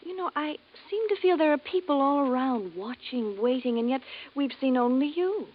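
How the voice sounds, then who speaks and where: A tender, forthright Earth explorer in a 1950s radio romance scene. Her voice is fairly high and earnest, on a muffled vintage recording. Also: lively intonation, normal speed. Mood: tender, curious. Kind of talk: 1950s radio drama acting.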